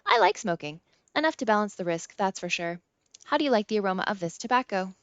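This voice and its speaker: A woman's voice: medium pitch, animated, quick pace, clean tone, no room sound, dull audio, close to an everyday voice. Woman reading as a glib, evasive teenage boy. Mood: chatty. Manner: glib, chatty, mock-earnest. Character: evasive, sly teenage boy feigning innocent sincerity.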